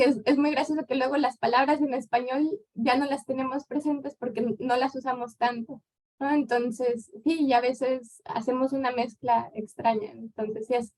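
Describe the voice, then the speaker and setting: A woman in her thirties from Mexico with a Mexican accent, answering questions as a guest lecturer in a relaxed, conversational way. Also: a little high, poor audio. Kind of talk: conference Q&A answer.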